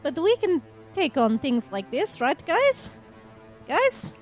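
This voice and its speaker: A woman acts out an eager, naive young nomad in a tabletop roleplay. The voice is high and swings about in a lively, chirpy way, with clear music behind it. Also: slow pace, full character voice. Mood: anxious bravado. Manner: animated, chirpy. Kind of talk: improvised tabletop roleplay acting.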